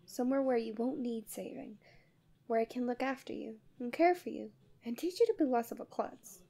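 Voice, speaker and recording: Soft, high-voiced tender guardian angel played by a woman. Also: fairly quick. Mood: confessing, exasperated. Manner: soft, soothing, often breathy half-whispers. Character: tender, possessive female guardian angel in love with a human. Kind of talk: ASMR roleplay, intimate.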